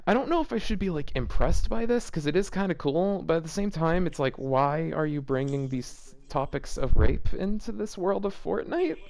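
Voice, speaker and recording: A man's voice: on the high side, sing-song, normal speed, creaky, few pauses, darkish tone. A man reading fan fiction aloud as a YouTuber, breaking off into casual, exasperated and baffled commentary.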